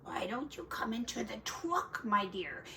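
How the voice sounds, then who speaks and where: A sly she-wolf from a storybook, played by a woman reader, coaxing softly and sweetly. The delivery is slow, with some noise behind it. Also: on the high side, big pitch swings, gravelly, far from the mic, exaggerated voice. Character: sly, sweet-talking female wolf posing as ice cream lady.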